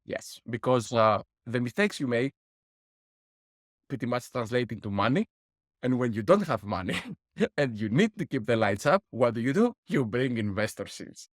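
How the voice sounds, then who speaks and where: A man's voice: mid-range voice, expressive, moderate pace, dry recording. Guest, middle-aged man, dry close mic, breaks down costs step by step. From Greece. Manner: explains with worked numbers and lessons, laughs wryly. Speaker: guest, board game publisher. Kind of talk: interview answers.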